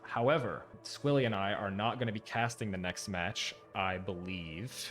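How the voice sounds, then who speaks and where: A man's voice: on the low side, normal speed, fairly bright tone. A young man hosting an online game tournament in casual, upbeat talk with fillers. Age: teens. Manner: upbeat, fillers, laughs easily. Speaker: tournament host. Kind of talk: casual stream chat. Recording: game music behind.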